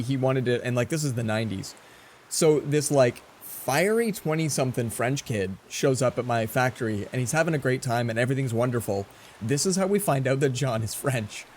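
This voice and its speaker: A man's voice: medium pitch, a little mellow, a little hiss or hum. A man in his forties giving casual gameplay commentary, rambling and chatty as he tells stories between moves. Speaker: let's play host.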